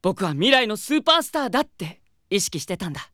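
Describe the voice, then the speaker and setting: A woman's voice: medium pitch, very animated, fast, a bit bright, no echo, studio quality. A woman voicing a proud, haughty boy who dreams of being an idol, in a Japanese audio drama with curt boyish speech. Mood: proud, bitter. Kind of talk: Japanese anime-style audio drama acting.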